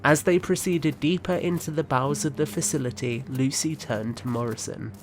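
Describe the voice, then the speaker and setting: A man's voice: a little high, normal speed, slight background noise. A man reading scripted third-person story narration for an audio drama.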